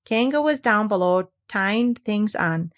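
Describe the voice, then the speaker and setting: A woman's voice: medium pitch, lively intonation, slow. A woman reads a children's storybook aloud in a mid-range voice. It is scripted narration, delivered as a story read to listeners. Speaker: storybook reader.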